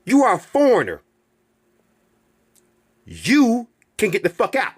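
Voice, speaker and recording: Man, channel host, heated profane rant, rhetorical questions, high voice, swinging pitch. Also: wildly swooping pitch, takes it slowly, breathy edge. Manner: heated and emphatic. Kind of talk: ranting monologue to viewers.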